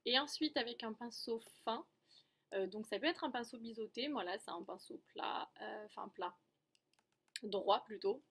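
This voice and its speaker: Female beauty vlogger, fairly high voice, casual step-by-step tutorial delivery, chatty and self-correcting. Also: normal speed.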